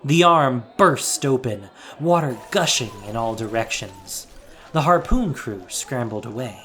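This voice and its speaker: A man's voice: mid-range voice, background noise. A man giving a dramatic, scripted narration of an adventure story as the narrator of an audio drama.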